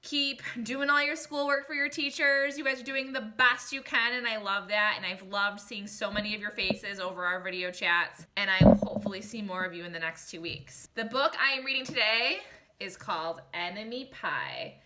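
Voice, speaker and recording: A woman's voice: mid-range voice, normal speed, a crowd in the background, rough recording. A woman teacher reading a children's picture book aloud expressively, speaking directly to young listeners and posing questions to them.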